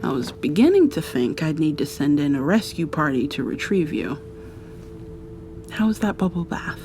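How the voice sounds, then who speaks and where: A woman playing an affectionate, playfully dominant girlfriend in an intimate ASMR roleplay, murmuring softly and breathily to the listener, often sliding into whispers. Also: very animated, moderate pace, over music. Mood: affectionate, cozy. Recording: audible background hiss with low rumble.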